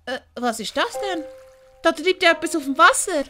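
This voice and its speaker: A woman voicing a kind, curious, cheerful little boy in an audio story, gentle and full of wonder. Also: very high voice, lively intonation, normal speed, soft background music, voice pushed up, heavily acted. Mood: curious, caring.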